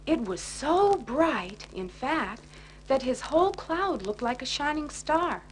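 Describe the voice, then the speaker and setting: A woman narrates a children's bedtime story. Her voice is high and expressive, and the old record carries faint background noise. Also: slow. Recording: noisy 1980s children's record.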